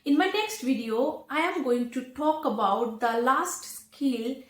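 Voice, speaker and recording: A woman with a high voice teaches at an unhurried pace, setting out each phrase with care and pausing between them. She sounds like an instructor addressing her viewers, recorded in an echoey room. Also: expressive. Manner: deliberate, carefully enunciated, pausing between phrases. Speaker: English teacher, host. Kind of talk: instructional talk to camera.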